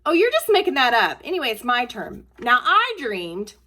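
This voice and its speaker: A woman's voice: on the high side, wildly swooping pitch, moderate pace, sharp tone, pitched above the performer's own voice, heavily acted. Woman doing an eager, boastful young child. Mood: eager, insistent. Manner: earnest, emphatic, boastful. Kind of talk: children's storybook read-aloud.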